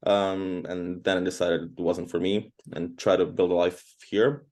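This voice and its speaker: A man's voice: deep voice, level tone, unhurried, darkish tone. A man from Croatia in his thirties, a guest in an interview, talking casually and at length with many fillers. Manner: chatty, rambling, frequent fillers.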